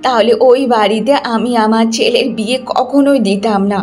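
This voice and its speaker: A domineering middle-aged mother-in-law acted by a woman in a cartoon moral tale. She scolds with greedy contempt and lays down threats in a high voice, over music. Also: moderate pace, a little mellow, natural voice. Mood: accusing. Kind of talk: cartoon moral story acting.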